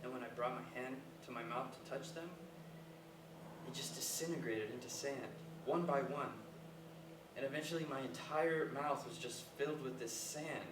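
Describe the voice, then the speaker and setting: An actor in a staged play reading, playing an earnest, hesitant, reflective young dropout in naturalistic dialogue. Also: male voice, medium pitch, normal speed, lightly acted. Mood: troubled, recounting. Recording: echoey, distant, noisy live stage recording.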